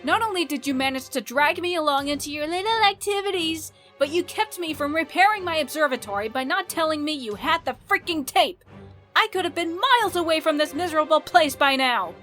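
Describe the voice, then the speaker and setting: A high-pitched, haughty princess from outer space, acted by a woman for a cartoon comic dub. She is articulate and theatrically indignant, scolding like royalty fed up with her company. Music plays under her voice. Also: animated, fairly quick, a bit bright. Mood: furious. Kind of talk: animated comic dub acting.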